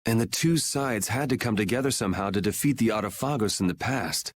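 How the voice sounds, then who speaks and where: A man's voice: lowish voice, brisk, slight background noise, lightly acted. An actor playing a casual, cool-headed young swordsman in a game, with a wry, laid-back manner. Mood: curious, wry. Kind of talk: English game dub acting.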